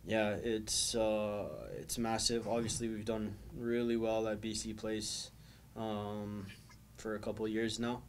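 Young man, flat even voice, post-match. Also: lowish voice, a little hiss or hum. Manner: measured, thoughtful, many ums and restarts. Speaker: soccer player. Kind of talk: post-match press interview. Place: small indoor press room, backdrop wall.

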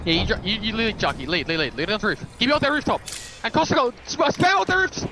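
High-pitched, fast-talking man calling game strategy. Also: huge pitch swings, poor audio. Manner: commanding, repetitive, sweary. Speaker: esports player calling team strategy. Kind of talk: rapid in-game team callouts. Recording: faint game noise, gunfire. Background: shooter game gunfire and effects.

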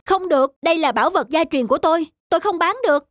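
A woman's voice: extremely high pitch, fast. A woman voicing a kind-hearted, earnest young village man in a fairy-tale cartoon. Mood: insistent. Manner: earnest, pleading.

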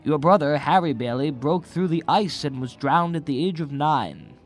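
An actor in a radio drama playing a kindly, anxious, bumbling guardian angel with an earnest, moralizing manner. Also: male voice, fairly high pitch, expressive, moderate pace, soft background music. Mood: earnest, grave.

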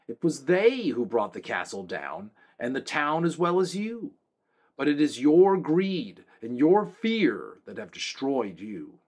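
A man's voice: mid-range voice, animated, a little slow, voice pushed up. A man voicing a stern, commanding young king in a storybook reading. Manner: stern, declaiming.

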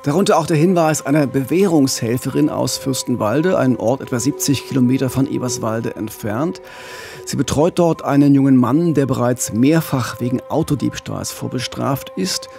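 A man's voice: medium pitch, normal speed, creaky, mellow, faint music behind. A man in his forties hosting a true-crime podcast, narrating conversationally into a studio microphone. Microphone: studio condenser mic with pop filter.

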